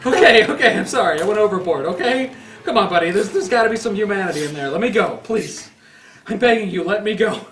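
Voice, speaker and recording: A man's voice: high-pitched, lively intonation, quick pace, fairly bright tone, recorded from a distance. A man reading the part of a wounded man who threatens, bargains and begs for his life at a comedy table read. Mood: pleading. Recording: roomy echo, off-mic, faint noise.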